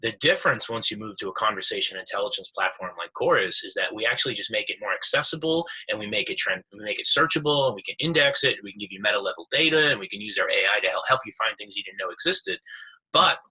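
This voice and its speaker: Guy guest in an interview, super bright punchy voice, bit raspy, explains stuff informally and emphatically. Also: on the low side, expressive, fast, poor audio. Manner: emphatic, informal, acts out quoted remarks. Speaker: interview guest, sales executive. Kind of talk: conversational interview, explaining with examples.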